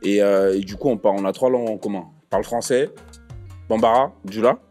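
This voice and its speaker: A man's voice: lowish voice, fairly quick, soft background music. A young man from the Ivory Coast, a stand-up comedian chatting as a podcast guest, telling stories with slang and frequent laughter. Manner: laughs often, lively storytelling, street slang. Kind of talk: casual podcast chat.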